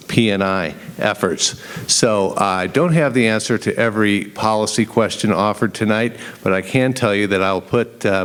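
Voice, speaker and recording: Low-voiced man making a candidate's statement. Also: clean tone. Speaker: city council candidate. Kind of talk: formal forum closing statement.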